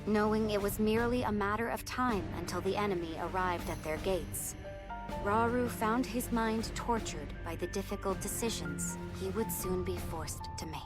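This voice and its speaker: A woman playing a solemn storyteller who narrates a video game legend in measured, literary phrases. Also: medium pitch, loud music behind the voice, lightly acted.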